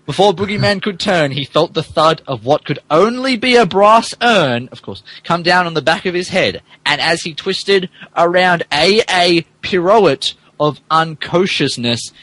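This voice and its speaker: A man's voice: on the high side, expressive, a little slow, a bit bright, no room sound, poor audio. A man narrating fan fiction as a comedic dramatic reading, stumbling over words and adding asides. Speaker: podcast host reading as narrator.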